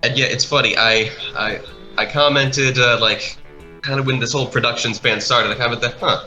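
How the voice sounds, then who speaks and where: A man chatting casually in a rambling, halting way, like someone producing an animation project. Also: mid-range voice, steady tone, pushed and bright, rough recording. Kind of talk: casual chat over a speedpaint. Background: music.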